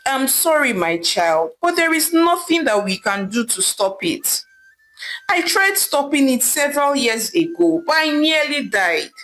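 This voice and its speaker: A woman storyteller voicing a weary, resigned widowed mother who explains herself gravely, as if confessing. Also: sits high, big pitch swings, a little slow, a bit bright, faint music behind, voice pushed up, exaggerated voice, low-quality recording.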